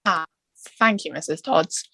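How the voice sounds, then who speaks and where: A woman playing a male Latin teacher in a table read, lecturing on myths in a measured, theatrical way with rhetorical questions. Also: low-pitched, creaky, rough recording. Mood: dramatic lecturing.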